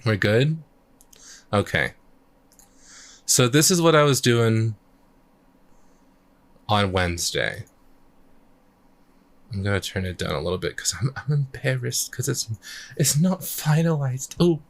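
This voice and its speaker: A man chatting casually on a live stream, thinking out loud as he works on his music, his voice a little croaky. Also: mid-range voice, sing-song, slow, long pauses. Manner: rambling, thinks aloud while working. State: slightly croaky voice. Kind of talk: casual livestream chat.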